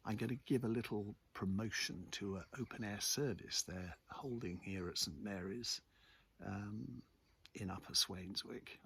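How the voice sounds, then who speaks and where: A man with a husky, gravelly voice chats casually to his followers as host, in a halting, reflective way with pauses. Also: lowish voice, fairly bright tone. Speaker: host of a local chat vlog. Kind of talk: rambling casual morning chat to followers. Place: outdoors in a churchyard.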